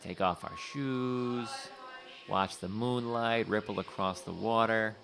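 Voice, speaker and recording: An actor playing a tenacious talent scout, talking fast like a salesman in an audio drama. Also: lowish voice, steady tone, vocal fry, fairly bright tone, heavily acted. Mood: wistful. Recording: music playing under the voice.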